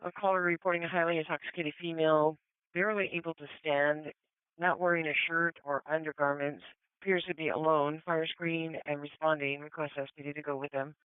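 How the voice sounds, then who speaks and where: A man's voice: fairly high pitch, little pitch movement, brisk, clean tone, no room sound. A man working as a police dispatcher, giving terse dispatch calls over a two-way radio. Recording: tinny narrowband police radio scanner audio.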